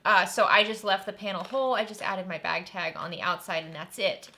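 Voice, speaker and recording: Female host, friendly craft-tutorial read: bright tone, mid pitch, chatty step-by-step explaining. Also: steady tone, fairly quick. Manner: chatty and upbeat, narrating while working.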